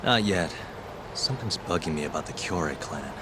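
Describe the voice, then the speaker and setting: A man's voice: very deep voice, expressive, moderate pace. A man playing a cool, wry detective in his thirties in a video game, with dry, understated, casual delivery. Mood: pensive. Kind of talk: naturalistic video game dialogue. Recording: clear city street noise behind.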